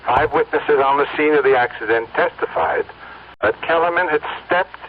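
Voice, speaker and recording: An actor in an old-time radio drama playing an impassive male newsreader with formal, measured delivery. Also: a little high, lightly acted. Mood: formal, neutral. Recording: tinny, faintly noisy radio newscast.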